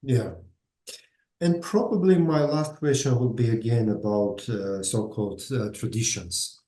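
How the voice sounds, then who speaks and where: A man's voice: medium pitch, unhurried, mellow. A man, interviewing in English, asking long questions with many "uh" fillers. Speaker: interviewer, media director. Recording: roomy echo.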